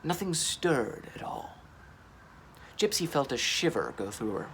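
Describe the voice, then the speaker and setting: Animated male storyteller with lively pitch. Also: a little slow, a little hiss or hum. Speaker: storytime reader. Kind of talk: animated children's audiobook-style storytelling.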